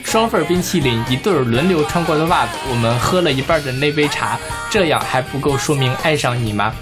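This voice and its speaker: A man's voice: on the high side, expressive, a little fast. Man chatting casually over guitar music. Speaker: music podcast co-host. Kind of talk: casual chat about songs. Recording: music playing behind the talk.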